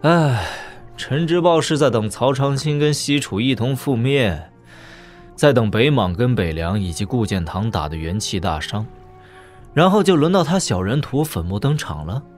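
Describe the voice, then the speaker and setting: A male actor plays a sharp-minded commanding lord in a wuxia audio drama. His mid-pitched voice moves expressively, with music underneath. The delivery is casual and sardonic. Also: sing-song, moderate pace, close to an everyday voice. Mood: sardonic, pensive.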